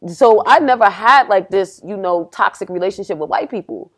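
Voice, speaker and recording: A young adult woman, a rapper guest, from Pennsylvania and raised in Texas, talking casually and acting out what people said. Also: moderate pace. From Pennsylvania, grew up in Houston, Texas. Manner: animated storytelling, acts out quotes with rising pitch. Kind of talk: casual podcast conversation.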